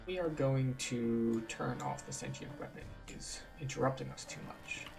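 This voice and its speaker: A man's voice: medium pitch, normal speed, creaky. A man giving casual, matter-of-fact gameplay commentary as he explains things like a tour guide. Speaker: game streamer and tour guide. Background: game music.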